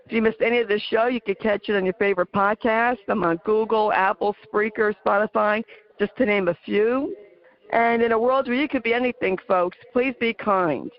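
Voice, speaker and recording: A woman with a New Jersey accent hosting a talk radio interview, earnest and halting with many fillers. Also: mid-range voice, highs cut off. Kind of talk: casual interview chat.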